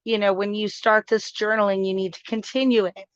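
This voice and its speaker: A woman's voice: medium pitch, flat delivery, a little fast, clean tone, no echo, muffled recording. A woman co-hosting a casual live stream, upbeat and chatty, often saying "you know". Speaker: co-host.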